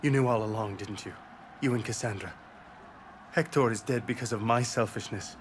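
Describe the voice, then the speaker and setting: A man's voice: lowish voice, moderate pace, a little hiss or hum, lightly acted. A man playing a guilt-ridden, anguished young Trojan prince in a video game, brooding and emotional. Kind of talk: dramatic video game acting.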